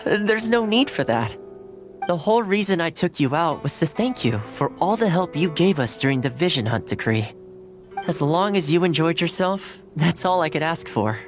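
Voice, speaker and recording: A courteous young male retainer with an easygoing, polite manner and a touch of humor. His voice rises and falls in lively swings, over faint music. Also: on the high side. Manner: polite, relaxed, gently humorous. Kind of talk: game dialogue.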